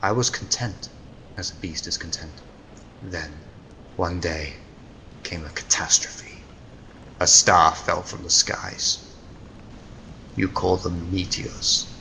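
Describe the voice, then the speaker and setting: An actor playing a million-year-old immortal Neanderthal villain, theatrical and grandiose, in old-time radio drama style. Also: male voice, low-pitched, steady tone, slow pace, vocal fry, long pauses, bright, forceful tone, slight background noise, heavily acted, low-quality recording. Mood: brooding recollection. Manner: theatrical, grandiose, menacing declamation.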